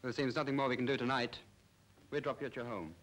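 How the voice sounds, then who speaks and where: An actor playing an official, questioning police sergeant with an English accent in an old British film. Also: male voice, mid-range voice, fairly even intonation, fast talker, a bit bright, natural voice. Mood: brisk, probing. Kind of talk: 1950s British film acting. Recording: faintly noisy old film soundtrack.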